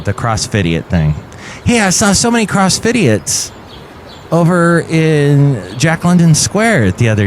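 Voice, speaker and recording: A man hosting a comedy podcast, rambling jokily with deadpan asides. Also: medium pitch, slight background noise. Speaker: podcast host.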